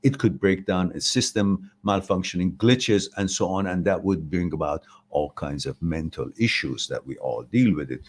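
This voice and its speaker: A man in his sixties from Iran with an Iranian accent, giving an informal philosophical talk in a reflective, halting way as host. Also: low voice, slightly rough. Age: 60s. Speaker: host of a live talk, answering viewer questions.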